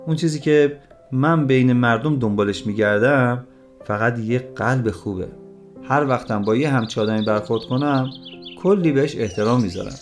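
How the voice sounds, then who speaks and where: A man's voice: medium pitch, lightly acted. Male actor for proud, earnest father in an audio story; direct, emphatic delivery, music underneath. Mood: earnest, firm. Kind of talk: dramatized story dialogue.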